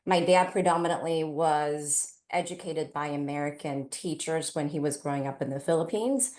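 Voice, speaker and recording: A middle-aged woman from Taiwan and California telling personal stories as a parent on a panel. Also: low voice. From Taiwan, then California from age eight. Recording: roomy, echoey event space.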